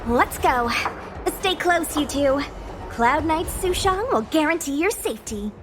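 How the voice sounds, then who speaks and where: A woman voicing an eager, bossy young female knight in an anime-style game, brisk and a little scatterbrained. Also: high voice, expressive, music in the background. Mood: cheerful, insistent. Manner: brisk, assertive, informal.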